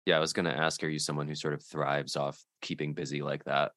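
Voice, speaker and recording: A man's voice: extremely low pitch, level tone, fast talker, fairly bright tone, no room sound, studio quality. A man hosting a casual interview, asking rambling, hesitant questions with light laughs. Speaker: podcast host.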